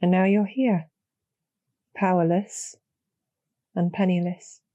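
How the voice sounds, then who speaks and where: A woman playing a kind, calm demon general in a roleplay audio drama, speaking in a measured, formal and gently persuasive way. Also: fairly low pitch, no echo, highs cut off. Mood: sympathetic, persuasive.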